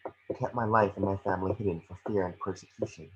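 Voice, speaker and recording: A man reading the part of a gentle, remorseful witch ghost mentor in an amateur table read, earnest and measured. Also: sits low, fairly even intonation, lo-fi sound, background noise, lightly acted. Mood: wistful.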